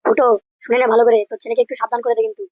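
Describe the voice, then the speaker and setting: Angry cartoon neighbour man, male performer, pitched up, threatening, dry sound. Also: flat delivery, very fast, no echo. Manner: scolding, threatening, crude. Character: angry, foul-mouthed neighbourhood man protecting his daughter. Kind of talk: comedy cartoon voice acting.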